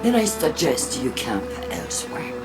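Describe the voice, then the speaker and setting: A woman playing a cold, haughty human faction leader in a video game, measured and pointedly disdainful. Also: extremely low pitch, huge pitch swings, exaggerated voice. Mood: scornful. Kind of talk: video game cutscene acting. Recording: loud music behind the voice.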